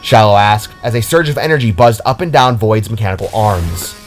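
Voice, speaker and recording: A man's voice: lowish voice, fairly quick, over loud music. A man reading scripted fiction as a dramatic story narrator.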